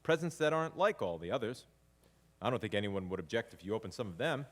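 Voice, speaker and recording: Genial theatrical dad, animated, echoing hall. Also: male voice, fairly low pitch, fast talker. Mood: coaxing, genial. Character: genial, fumbling storytelling father at Christmas. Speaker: father and narrator. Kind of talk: live stage audio drama with narration. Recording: live hall recording with long reverb.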